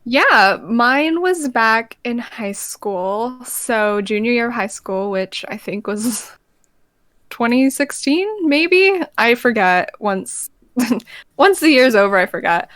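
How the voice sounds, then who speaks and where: A woman's voice: on the high side, sing-song, slow, fairly bright tone, no room sound, studio quality. A young woman, a college student who makes ASMR videos, chatting as a guest in a bubbly, upbeat way. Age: young adult. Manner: bubbly, upbeat, laughs easily. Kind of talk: casual interview chat.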